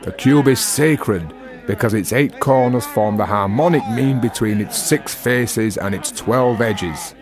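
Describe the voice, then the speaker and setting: Actor as a speechifying Victorian Freemason over music. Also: male voice, lowish voice, a little slow, close to an everyday voice. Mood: rapt. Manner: declamatory, speechifying, archaic phrasing. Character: earnest, high-flown working-class Freemason of Victorian England. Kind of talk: radio drama acting. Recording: music under the voice.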